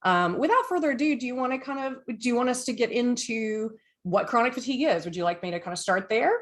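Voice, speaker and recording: A woman's voice: a little high, quick pace. A woman from the United States hosting a podcast, chatting enthusiastically and sometimes reading from her notes. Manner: chatty, enthusiastic, lively asides. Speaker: podcast host.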